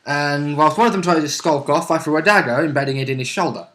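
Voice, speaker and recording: A man's voice: fairly high pitch, fast, a bit bright. A man chatting casually as a player in a tabletop role-playing game. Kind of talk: casual chat. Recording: echoey, roomy sound.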